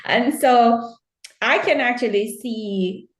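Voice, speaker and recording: A woman's voice: on the high side, slow, reverberant, low-quality recording. A woman with a Jamaican accent, born in Kingston, hosting a podcast in friendly, chatty conversation. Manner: friendly, chatty, laughs often. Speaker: podcast host. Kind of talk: casual interview chat.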